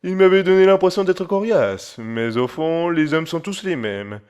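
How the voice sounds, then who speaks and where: A man playing a cold, calm, formal crime boss in an amateur audio drama, with music underneath. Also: a little high, clean tone, lightly acted. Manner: calm, coldly formal, measured. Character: cold scheming male crime boss, middle-aged.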